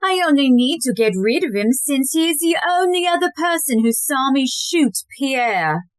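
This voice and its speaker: A woman's voice: high-pitched, animated, moderate pace, no echo. A woman playing a cold, menacing, commanding society wife turned murderer in an audio play.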